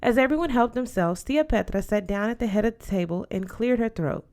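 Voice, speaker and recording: Expressive woman reading a story aloud. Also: fairly high pitch, brisk. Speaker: audiobook reader. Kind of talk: expressive scripted first-person narration.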